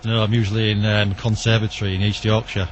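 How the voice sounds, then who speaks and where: A man's voice: lowish voice, level tone, quick pace. A British man, a radio hobbyist, being interviewed informally in a matter-of-fact, explanatory way. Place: outdoors in an urban back alley. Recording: noisy outdoor location with radio sound.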